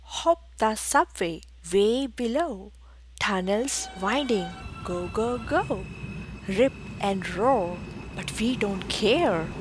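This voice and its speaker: A woman's voice: mid-range voice, animated, slow, low-quality recording. A woman reads a rhyming picture book aloud for preschoolers in a theatrical, exclamatory, rhythmic way, with music behind her. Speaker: children's book reader.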